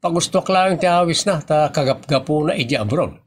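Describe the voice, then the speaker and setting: A man with an Ilocano Filipino accent acting a sleepy, sheepish, good-natured husband in a comedy audio drama, protesting in drawn-out exclamations. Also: on the high side, lively intonation, husky. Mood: pleading.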